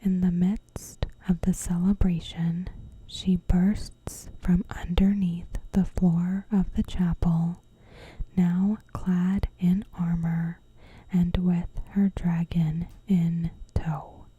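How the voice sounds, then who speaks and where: A woman narrating a recap in ASMR style, in a hushed, breathy whisper with measured delivery. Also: fairly low pitch, level tone, lo-fi sound. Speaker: ASMR video host.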